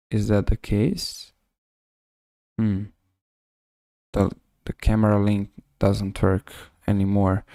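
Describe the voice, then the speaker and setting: A man with a Serbian accent giving live chess commentary on a stream, halting and often rephrasing himself. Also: fairly low pitch, vocal fry, a little mellow, no room sound, studio quality.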